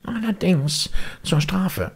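A man's voice: sits high, lively intonation, slow pace, vocal fry, slightly rough, voice pushed up, full character voice. A man voicing a naive wooden puppet boy in a storybook reading, thin-voiced, earnest and plaintive. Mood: guarded.

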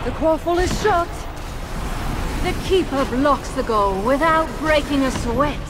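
A woman playing an energetic sports match commentator in a video game, calling play-by-play in short exclamations. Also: high-pitched, a little slow, noisy background. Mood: keen, excited. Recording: loud game music behind voice. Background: loud music.

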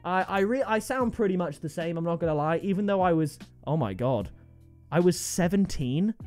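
A man, commenting on game item trades at his desk, excitable and jumping to high-pitched disbelief. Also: normal speed, faint music behind. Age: 20s. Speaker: video game trading streamer. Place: gaming room indoors.

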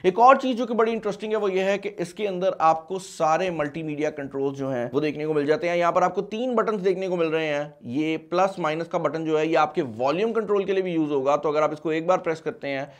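A man's voice: on the high side, fast talker, few pauses. A man in his twenties with a Pakistani accent, hosting a casual product review and mixing in English words. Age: 20s. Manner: mixes English words and phrases into speech. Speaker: tech review host. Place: small studio at a desk, indoors.

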